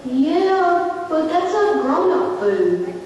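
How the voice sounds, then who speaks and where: A woman's voice: very high voice, very animated, extremely slow, a little mellow, dull audio, heavily acted. A woman playing a skeptical, cheeky young child in a puppet show, asking blunt, casual questions. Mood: curious, skeptical. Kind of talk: scripted puppet show dialogue. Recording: echoey hall from far off, faint noise.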